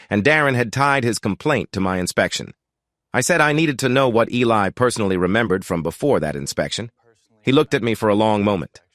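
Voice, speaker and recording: A man narrating a scripted first-person story in a measured, deliberate way, like an audiobook. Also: mid-range voice, a bit bright, no echo, studio quality.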